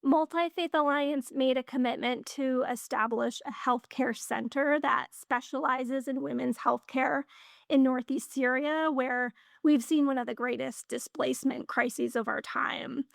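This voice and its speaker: A woman's voice: high voice, gravelly, dry recording. A woman speaking fluently and upbeat about a partner organization's health work. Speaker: guest introducing a partner organization.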